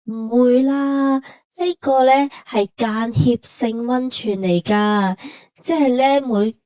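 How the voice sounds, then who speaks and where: Hong kong cantonese lady, soft mellow highish voice, explaining stuff for a travel vlog, really dry audio. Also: takes it slowly, no echo. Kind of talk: conversational scripted travel narration.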